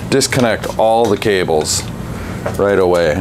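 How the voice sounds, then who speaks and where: A man working on a bike in his workshop, talking casually through each step with a relaxed, chatty manner. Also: fairly low pitch, a little slow. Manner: relaxed, chatty, thinks aloud with fillers. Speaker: bike mechanic and channel host. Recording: workshop noise behind the voice.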